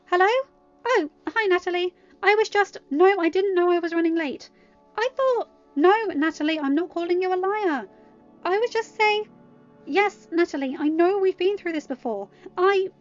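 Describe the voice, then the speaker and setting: Woman acting a high-pitched, flustered, apologetic teenage girl. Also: normal speed, muffled recording, faint music behind. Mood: flustered, pleading. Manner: stammering, placating, breaking off mid-sentence. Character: anxious, apologetic teenage girl pleading with her strict instructor. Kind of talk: dialogue in an amateur audiobook reading.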